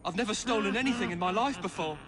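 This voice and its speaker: High-voiced, bewildered jailed thief. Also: male voice, quick pace, fairly bright tone. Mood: bewildered, haunted. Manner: hazy, haunted, protesting innocence. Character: bewildered jailed thief claiming demonic control. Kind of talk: game cutscene dialogue. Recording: clear ambient noise.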